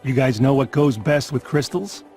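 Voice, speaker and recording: A man's voice: medium pitch, normal speed, a little mellow, faint music behind, natural voice. A man voicing a cheeky animal schemer in a cartoon game, breezy and coaxing.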